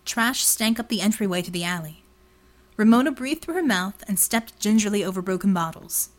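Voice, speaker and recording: A woman's voice: medium pitch, animated, brisk. A woman reading scripted fiction as an audiobook narrator.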